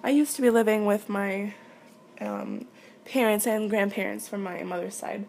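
A woman's voice: mid-range voice, sing-song, moderate pace, a little mellow, a little hiss or hum. A young adult woman from Quebec whose first language is French, chatting casually and hesitantly in a candid, giggly, rambling way. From Quebec, Canada. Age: late teens, young adult. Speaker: audio creator answering listener questions.

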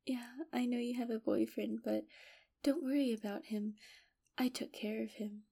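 Give the part of an infatuated, possessive kidnapper woman, acted by a woman in an intimate audio drama. Her voice is a little high yet mellow and soft, often slipping into breathy whispers. She coos and coaxes with condescending sweetness and quiet menace. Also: fairly even intonation, normal speed. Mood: adoring, possessive. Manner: coaxing, cooing, condescendingly affectionate. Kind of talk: intimate first-person audio roleplay.

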